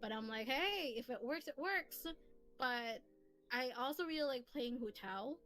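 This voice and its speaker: A woman with a high voice rambles through a casual livestream chat. She is animated and giggly, like someone chatting with friends. Soft lofi music plays faintly behind her. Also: measured pace. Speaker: streamer and host who voices comic dubs. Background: soft lofi background music.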